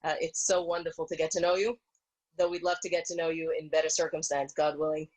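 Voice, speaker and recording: A woman with a slightly low voice offers reassuring advice to a community. She is measured and articulate, speaking over a video call. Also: little pitch movement, a little mellow, rough recording. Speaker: social worker, rabbi's wife. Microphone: video call microphone.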